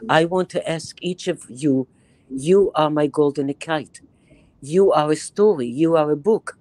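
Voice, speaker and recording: A woman in her eighties from Israel with a Bronx accent, lecturing a reading group over a video call in an animated, emphatic way, repeating phrases for effect. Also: sits low, dry recording, low-quality recording. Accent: Bronx New York. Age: 80s. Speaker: guest lecturer. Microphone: webcam on video call, close to the face.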